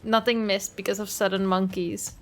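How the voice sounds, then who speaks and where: A woman's voice: mid-range voice, flat delivery, normal speed. Playful woman streamer. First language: Dutch. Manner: chatty, playful, greets chat. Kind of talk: live gameplay commentary.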